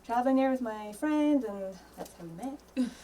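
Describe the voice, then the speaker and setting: Relaxed Scottish woman, a bit off-mic. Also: on the high side, normal speed, slight background noise. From Scotland. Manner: relaxed, laughs easily, hedges with "um" and "yeah". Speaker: podcast guest. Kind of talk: casual interview chat. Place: podcast studio. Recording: voice a little distant from mic.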